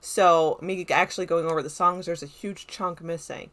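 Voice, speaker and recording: Chatty woman with a slightly low voice. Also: steady tone, moderate pace, a bit bright. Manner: chatty, rambling, self-deprecating. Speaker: video essayist reviewing a film. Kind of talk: casual unscripted commentary to viewers.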